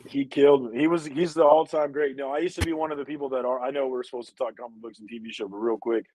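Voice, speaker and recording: A deep-voiced man in his forties, co-hosting a casual chat in a laid-back, wry, rambling way. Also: steady tone, fast talker, darkish tone, a little hiss or hum, poor audio. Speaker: co-host.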